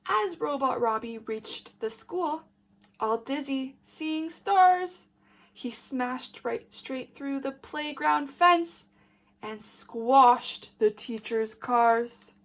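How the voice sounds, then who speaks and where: A woman with a high voice reads a children's storybook as a teacher would. Her delivery is slow and emphatic, shaped clearly for young listeners and addressed straight to them. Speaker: teacher reading a picture book to children. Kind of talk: expressive storybook read-aloud with questions for young children.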